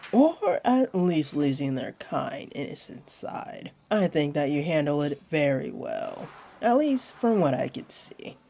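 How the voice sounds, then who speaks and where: A woman voicing a flirty, comforting female jaguar beastling in a roleplay audio, with a deep, mellow voice and a teasing, soothing manner. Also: full character voice.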